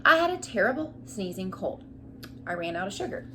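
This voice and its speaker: A woman's voice: mid-range voice, very animated, a little fast, a bit bright, a little hiss or hum. A woman voicing a chatty, indignant male storybook wolf who protests his innocence in an emphatic, confiding way. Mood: animated storytelling.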